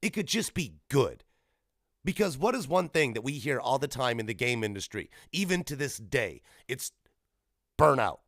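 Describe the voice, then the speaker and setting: A man's voice: mid-range voice, big pitch swings, moderate pace, fairly bright tone, no room sound, studio quality. A man in his thirties hosting a solo gaming podcast, rambling casually and correcting himself as he goes. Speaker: podcast host. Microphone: podcast microphone.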